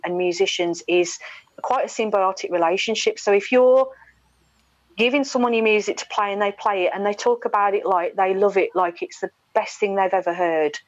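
A woman's voice: medium pitch, dry recording, lo-fi sound. A woman in her forties with a British accent, talking candidly and emphatically as a radio presenter being interviewed over a video call. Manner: chatty, emphatic, with mimicked asides. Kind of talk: candid conversational interview talk. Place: indoor room at home, video call. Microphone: wired earphone headset on video call.

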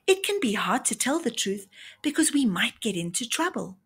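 Woman as an eager, high-pitched little schoolgirl. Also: big pitch swings, moderate pace, husky, heavily acted. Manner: bright, keen, earnest. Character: eager young schoolgirls answering in class.

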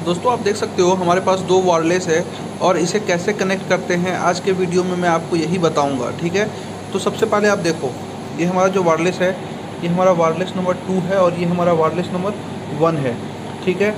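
A man's voice: high voice, steady tone, brisk. A young man gives an informal how-to tutorial, often adding a short checking tag after each explanation. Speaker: video tutorial host. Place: indoors at a table. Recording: noisy room, clear background hum.

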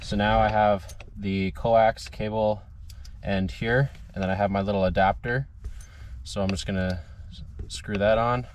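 A man's voice: lowish voice, steady tone, a little slow. A young adult man giving a casual, hands-on tutorial, explaining step by step in an informal way as he works. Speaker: DIY car video host. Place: in and beside a parked car outdoors. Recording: clear background noise, vehicle ambience.

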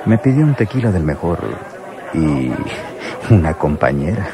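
An actor playing an obliging, sly nightclub waiter in a radio drama, deferential and chuckling, with a Mexican accent. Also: male voice, low-pitched, big pitch swings, unhurried. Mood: sly, amused. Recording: loud music and crowd noise behind.

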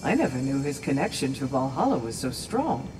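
An actress playing a composed, curious Valkyrie warrior in a video game, formal and thoughtful. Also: female voice, a bass voice, big pitch swings, brisk. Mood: composed, intrigued. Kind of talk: dramatic video game acting. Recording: game audio with background noise.

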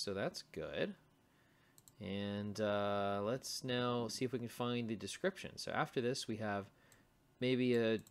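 A man's voice: on the low side, creaky. A man giving a casual screencast coding walkthrough as a tutorial host, thinking aloud as he types. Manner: thinking aloud while typing. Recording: typing and mouse clicks, faint noise. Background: computer keyboard typing and clicks.

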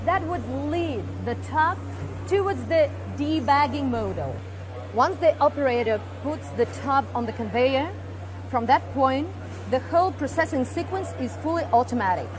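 A woman with a high voice walks listeners through a production line, explaining each step with fillers and restarts. She speaks into a lapel mic on a large factory floor, with music playing clearly underneath. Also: lively intonation, moderate pace. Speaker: company presenter. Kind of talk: guided equipment tour.

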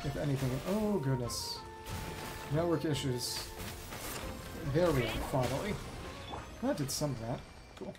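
A woman's voice: sits low, animated, a little slow, long pauses. A woman streamer giving casual live gameplay commentary, half muttering to herself with mild exclamations. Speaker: game streamer. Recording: loud game music behind voice. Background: loud game music.

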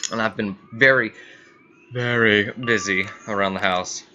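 Guy doing a casual lets play, very bright edgy voice, rambling, bit of noise behind him. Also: lowish voice, rough recording. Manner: rambling, repeats himself, thinking aloud. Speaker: gaming channel host. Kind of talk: casual let's play commentary. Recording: faint background noise.

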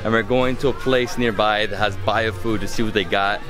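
A man's voice: fairly low pitch, fairly even intonation, normal speed, over music. A man in his thirties hosting a travel vlog, chatty and enthusiastic as he walks through town and tastes food. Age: 30s. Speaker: travel vlogger host. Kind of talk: casual travel vlog narration. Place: outdoors on city streets and inside shops and bars.

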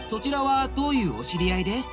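A man's voice: very high-pitched, expressive, quick pace. A man voicing an earnest, polite young servant of a noble house in a Japanese anime game, with formal, courteous speech. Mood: grateful, polite. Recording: studio voice over game music. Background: game music.

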